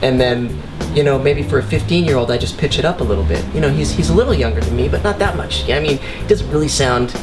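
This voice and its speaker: A man's voice: mid-range voice, expressive, over music. A man with a young-sounding voice, chatting casually as a voice actor guest in an interview. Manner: earnest, quick asides, occasional restarts.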